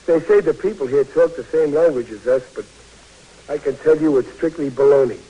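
A bashful, stammering big private. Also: male voice, on the high side, brisk, mellow, breathy edge, low-quality recording. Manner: stammering, folksy and shy. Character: big good-natured bashful young male army private. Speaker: soldier. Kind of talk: 1940s radio acting.

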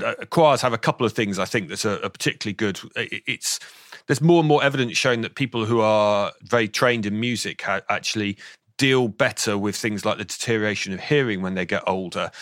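A man in his fifties with a slightly croaky voice, explaining thoughtfully as an interview guest, often restarting his sentences. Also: fairly low pitch, fairly bright tone, dry recording, clean studio sound. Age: 50s. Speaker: author and guest. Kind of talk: interview on voice science.

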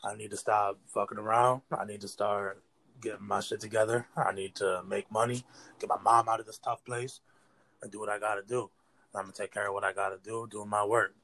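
A man's voice: on the low side, little pitch movement, fairly quick, vocal fry, fairly bright tone, low-quality recording. Slangy young American man, interview guest. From New York State, United States. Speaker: interview guest, battle rapper. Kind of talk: casual slangy interview talk.

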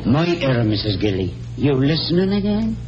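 A wily old Irish country doctor acted by a man with a very animated, swooping delivery. He blusters and wheedles theatrically in the style of classic radio drama. Music plays clearly in the old broadcast. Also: medium pitch, fairly quick, dull audio, lightly acted. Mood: flustered. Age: older. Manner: wheedling, theatrical, hesitating for effect.

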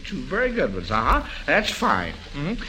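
A man's voice: on the high side, huge pitch swings, moderate pace, bright, forceful tone. A man hosting an old-time radio quiz for children, speaking in an encouraging, somewhat hesitant way. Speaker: quiz show host. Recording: noisy vintage radio broadcast.

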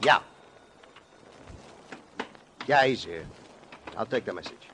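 A man's voice: lowish voice, animated, slow, pushed and bright, exaggerated voice. An actor playing a brusque, fussy, grudging man in a 1950s radio crime drama, curt and clipped. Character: brusque, fussy male phone owner, grudging and suspicious. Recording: noisy old radio recording.

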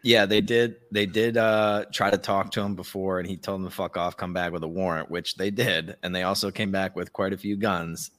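A man's voice: deep voice, level tone, normal speed, hardly any pauses, fairly bright tone. A man hosting a podcast, chatting casually with blunt, sarcastic, sweary opinions. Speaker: podcast host. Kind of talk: casual opinionated commentary chat.

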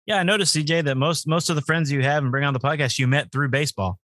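A man's voice: fairly high pitch, fast talker, fairly bright tone, no echo, clean studio sound. A man hosting a podcast in casual chat, joking lightly at his own expense. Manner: self-deprecating, light joking. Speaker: podcast host.

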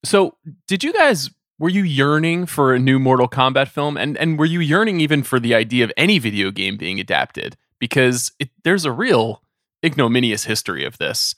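A man's voice: medium pitch, big pitch swings, moderate pace, fairly bright tone, dry recording, studio quality. A man hosting a conversational podcast, speaking in a wry way and putting long questions to his guests. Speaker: podcast host.

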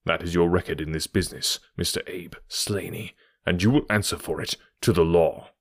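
A stern English detective of classic storybooks, voiced by a male narrator, very deep and self-assured. He speaks with quiet authority in a dry, close recording. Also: animated, normal speed, dry recording.

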